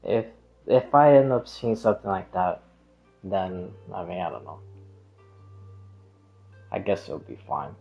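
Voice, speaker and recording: A man's voice: low voice, sing-song, a little slow, vocal fry, long pauses, muffled recording. A man chatting casually as a game streamer, rambling and hesitant, often trailing off and drifting onto tangents. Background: background music.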